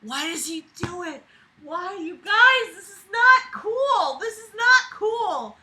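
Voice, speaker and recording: A woman's voice: extremely high pitch, slow. A woman reading a story aloud and breaking in with incredulous, sweary, theatrical commentary. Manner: animated outbursts and sarcastic asides. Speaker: host of a reading-and-reacting video.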